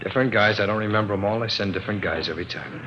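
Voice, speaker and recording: An actor playing a shifty, wheedling small-time crook who talks in slangy, hesitant bursts in a 1950s radio drama. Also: male voice, on the low side, natural voice. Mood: evasive. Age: 30s. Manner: slangy, hesitant, fast-talking. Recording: noisy, muffled old radio recording.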